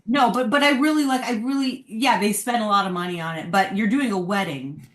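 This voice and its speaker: A woman's voice: mid-range voice, fairly quick, a bit bright. A woman speaking informally and emphatically as an opinionated panelist in a casual discussion. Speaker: podcast panelist. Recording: roomy, echoey sound.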